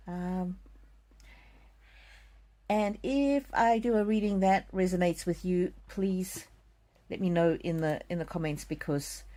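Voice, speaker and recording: A soft-spoken middle-aged woman from South Africa hosts a live oracle card reading. Her voice sits low and mellow, and she talks in a gentle, soothing way straight to each viewer. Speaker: card reader.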